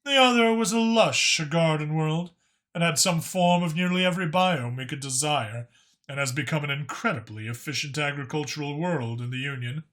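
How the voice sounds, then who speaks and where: A man's voice: on the high side, normal speed, a bit bright, close to an everyday voice. A man voicing a talkative alien dragon informant who explains things knowingly and with emphasis in an audiobook story. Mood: explanatory, animated.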